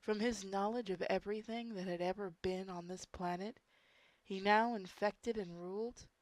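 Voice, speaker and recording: A woman's voice: mid-range voice, steady tone, normal speed, no room sound. A woman reading a horror story aloud as an amateur, informal, stumbling over words and breaking off into casual asides. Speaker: story reader.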